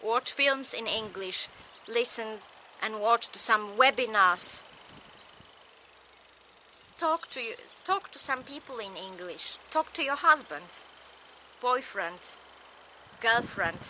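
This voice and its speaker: A woman with a Polish accent, a native Polish speaker, giving a recorded lecture in slow, measured, short phrases. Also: a little high, expressive, pushed and bright, slight background noise.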